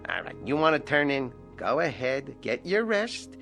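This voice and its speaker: An actor voicing a sly, bullying male ventriloquist dummy who talks like a gravelly street tough, in a 1960s TV drama over dramatic score music. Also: mid-range voice, big pitch swings, normal speed, a bit bright. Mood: sneering. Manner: sneering and bossy.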